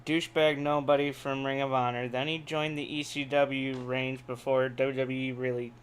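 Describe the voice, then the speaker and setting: A brash man co-hosting a casual wrestling podcast, swearing heavily and telling things in an animated way. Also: mid-range voice, moderate pace, clear, resonant tone. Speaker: podcast co-host.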